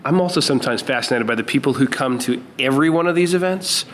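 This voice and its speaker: A man's voice: mid-range voice, fast talker, slight background noise. A man co-hosting a podcast live at a conference, upbeat and joking, with playful asides. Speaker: podcast co-host. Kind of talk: casual podcast chat.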